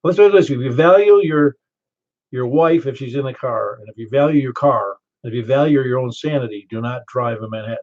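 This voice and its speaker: An older man with a Southern American accent running a ham radio net, chatty and quick to laugh as he tells stories. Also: mid-range voice, darkish tone. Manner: chatty, storytelling, laughs easily. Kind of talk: casual radio chat. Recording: muffled ham radio audio.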